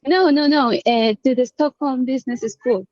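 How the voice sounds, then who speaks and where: A woman from Peru, raised in Spain, a webinar participant speaking haltingly over a video call. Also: sits high, a little mellow, no room sound. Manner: halting, with fillers. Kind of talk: casual conversation. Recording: dull, lo-fi call audio.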